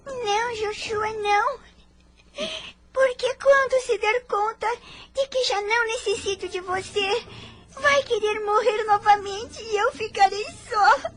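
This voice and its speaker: A woman's voice: very high-pitched, steady tone, unhurried, a bit bright. A woman with a Brazilian accent playing a tender, timid and fragile poor orphan girl in a radio drama. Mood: tearful, pleading. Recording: music under the voice.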